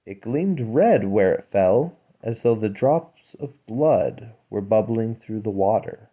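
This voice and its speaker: A man reading a fairy tale aloud as a storyteller, in a measured, dramatic way. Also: lowish voice, animated, narrow, tinny audio.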